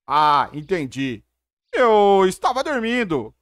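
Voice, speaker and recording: A woman with a Brazilian accent giving casual, expressive gameplay commentary and reading dialogue aloud as host. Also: medium pitch, takes it slowly, dry recording, clean studio sound. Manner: expressive, informal.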